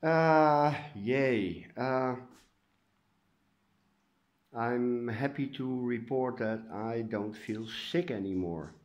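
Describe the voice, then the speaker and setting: A man's voice: mid-range voice, drawn-out words, pauses often, dull audio. A man with a Dutch accent giving a casual home update, a bit stuffy and congested, talking hesitantly with lots of uh's. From Netherlands. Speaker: orchid grower vlogger. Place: indoors at home.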